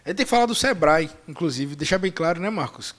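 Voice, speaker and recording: A man hosting a casual podcast chat, lively and joking. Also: fairly high pitch. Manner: lively, joking, quick-talking. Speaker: podcast host.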